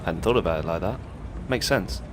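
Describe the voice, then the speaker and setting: A man playing a hapless, literal-minded British protester in a naturalistic audio comedy, easily swayed, with a puzzled, deadpan, questioning manner. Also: low voice, brisk, slight background noise. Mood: mildly puzzled.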